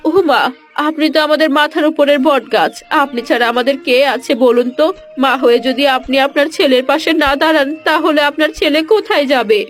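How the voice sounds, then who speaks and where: A woman playing a falsely sweet daughter-in-law in a cartoon story, pleading and flattering. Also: sits high, fairly quick, soft background music, natural voice. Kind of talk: Bengali cartoon moral-story acting.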